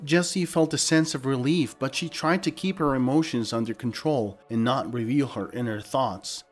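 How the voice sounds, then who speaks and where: A man's voice: medium pitch, moderate pace, faint music behind. A man reading a scripted dramatic story as narrator.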